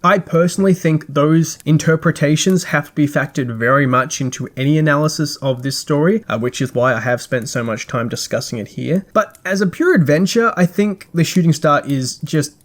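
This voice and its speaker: A man hosting a podcast, reading a scripted review in a measured, articulate way with dry, wry asides. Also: fairly high pitch.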